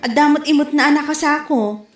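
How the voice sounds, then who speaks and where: A woman's voice: high voice, fast talker, close to an everyday voice. A woman playing a nosy, gossipy neighbour in a comedy drama. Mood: curious. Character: nosy woman neighbour chatting with her close friend. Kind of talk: Ilocano comedy radio-style drama. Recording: roomy echo on the voice. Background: music.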